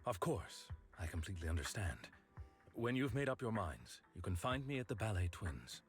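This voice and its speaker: A composed game character, a formal envoy with a low, deep voice. He is polite and measured, every phrase courteous, with music playing underneath. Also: big pitch swings. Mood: courteous, businesslike. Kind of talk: video game voice acting.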